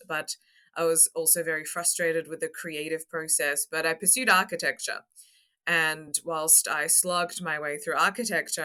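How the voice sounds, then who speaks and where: A young Australian woman answering interview questions as a guest, articulate and emphatic, with measured phrasing. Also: low-pitched, bright, forceful tone, clean tone. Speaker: podcast guest, construction coach. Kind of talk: interview talk.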